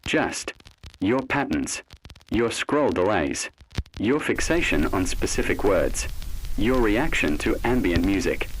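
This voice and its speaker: Male narrator for scripted horror, low and ominous, expressive pitch movement, addresses the listener directly. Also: takes it slowly, slight background noise, rough recording.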